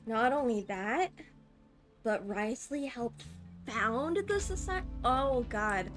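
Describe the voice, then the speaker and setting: A woman streaming a video game, reading text aloud and commenting in an expressive, emotionally reactive way. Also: a little high, takes it slowly. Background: faint game music.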